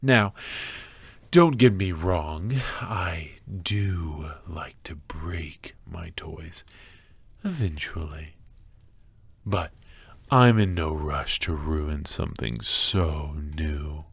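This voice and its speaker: A man playing an obsessive, menacingly tender captor in an ASMR roleplay, speaking softly and intimately, often slipping into whispers. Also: a bass voice, creaky, breathy edge, no room sound, heavily acted. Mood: calmly menacing. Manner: soft, intimate, drawn-out, often dropping to whispers.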